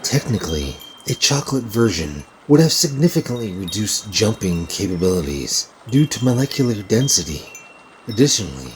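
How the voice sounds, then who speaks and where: A man's voice: lowish voice, big pitch swings, moderate pace, a little hiss or hum. A man playing a pedantic, unflappable scientist in a sci-fi audio sitcom, with pompous, precise, clinical phrasing. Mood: clinical fascination.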